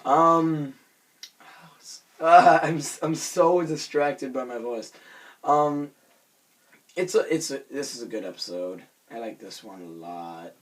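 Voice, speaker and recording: A hoarse young man with a raspy voice, rambling casually through a self-conscious vlog with many fillers and restarts. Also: medium pitch, slow. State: hoarse from screaming. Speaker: vlogger reviewing a cartoon episode.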